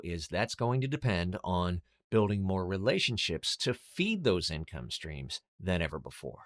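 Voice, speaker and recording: A man hosting an instructional monologue as a business coach, speaking in an emphatic, deliberate way with rhetorical questions. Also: lowish voice, a bit bright. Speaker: host, voiceover business coach.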